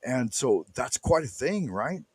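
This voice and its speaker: A man's voice: medium pitch, sing-song, a little slow, a little mellow, husky, no echo. A middle-aged man hosting a casual talk, rambling and prone to interjecting. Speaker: show host. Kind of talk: casual chat.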